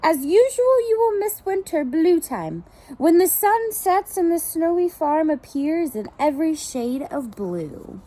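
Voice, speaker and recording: A friendly storybook billy goat, played by a woman reader, speaking slowly in a high voice. The goat sounds knowing and matter-of-fact. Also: very animated, warm tone, exaggerated voice, low-quality recording.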